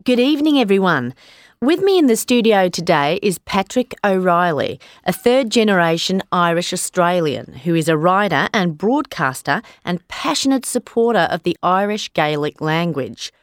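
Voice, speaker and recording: Female actor as inquisitive studio interviewer, lively intonation, dry read. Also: lowish voice, moderate pace, dry recording, natural voice. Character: woman, friendly radio interviewer. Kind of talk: scripted exam interview.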